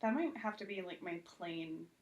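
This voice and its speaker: A woman's voice: on the low side, animated, moderate pace, darkish tone, lo-fi sound. A woman speaking as a podcast guest, giving her opinions in informal, conversational chat.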